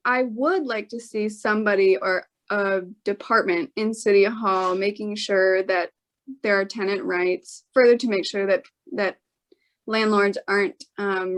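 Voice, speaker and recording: A woman with a medium-pitched voice gives a candidate's answer at a forum. She speaks unscripted and carefully, hedging and pausing to reword her points. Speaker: political candidate.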